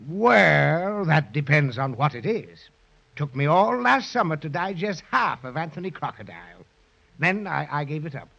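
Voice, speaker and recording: A vain old-time radio crocodile, pompous and theatrical. The voice is husky yet bright, swooping up and down through grand, clipped phrases. It comes through a muffled 1930s recording. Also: male voice, fairly high pitch, very animated, moderate pace, exaggerated voice. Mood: self-satisfied. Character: pompous, vain male crocodile who loves books and singing. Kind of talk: 1930s children's radio serial acting.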